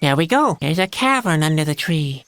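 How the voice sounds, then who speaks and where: High-voiced, melancholy young clown boy. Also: sing-song, moderate pace, fairly bright tone, slight background noise. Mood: puzzled. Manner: hesitant, mournful musing. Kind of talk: scripted adventure game dialogue. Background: music.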